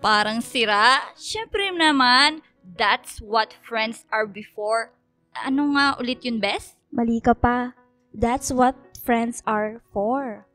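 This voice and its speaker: A young Filipino woman in a radio drama, caring and emotional as she talks with people close to her. The voice is high, with faint music behind it. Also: slow pace, pushed and bright. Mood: playful, affectionate. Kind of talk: radio drama dialogue, Taglish.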